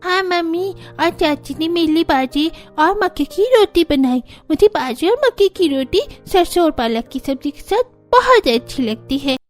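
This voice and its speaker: Woman as a high-pitched agreeable little child. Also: lively intonation, moderate pace, few pauses, faint music behind. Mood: pleased. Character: agreeable little child praising home food. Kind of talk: Hindi cartoon story character acting.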